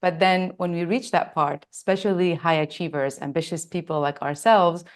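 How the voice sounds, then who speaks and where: A woman's voice: lowish voice, steady tone, moderate pace. A woman from Lebanon, in her thirties or forties, telling her own story earnestly and emphatically as an interview guest. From Lebanon, born in Australia. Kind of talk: reflective personal storytelling in an interview.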